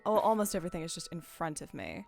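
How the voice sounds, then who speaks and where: A woman's voice: lowish voice, sing-song, quick pace, creaky, a little mellow, soft background music. A woman hosting a casual game stream, informal and sweary as she thinks aloud while playing.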